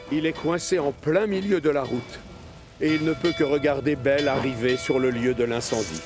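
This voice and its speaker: A man narrating a scripted children's story as a storyteller. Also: medium pitch. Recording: music behind the voice.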